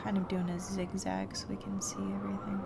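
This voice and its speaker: A woman's voice: fairly low pitch, sing-song, fairly quick, vocal fry, heavy background noise. A woman giving casual gameplay commentary, murmuring as she thinks aloud. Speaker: video game player and host. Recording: loud game music behind voice. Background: game music and sounds.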